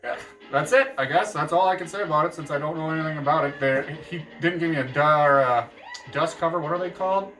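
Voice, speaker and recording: A man's voice: on the high side, fast, sharp tone, distant mic. A man casually chatting about his reading plans on a book vlog, joking around and correcting himself as he goes. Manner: jokey, meandering, self-correcting. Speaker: book vlogger. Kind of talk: casual rambling book chat.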